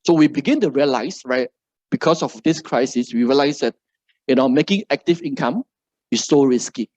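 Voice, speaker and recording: A man's voice: mid-range voice, lively intonation, moderate pace, darkish tone, dry recording, highs cut off. A middle-aged man from Malaysia with a Malaysian English accent gives a seminar talk to a live audience, ending nearly every phrase with "right?". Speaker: motivational speaker.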